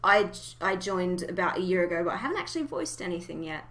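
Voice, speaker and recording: A woman's voice: lowish voice, sing-song, fast talker, a bit bright. A woman hosting a casual fan podcast, chatting in an informal, giggly way. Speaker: podcast host.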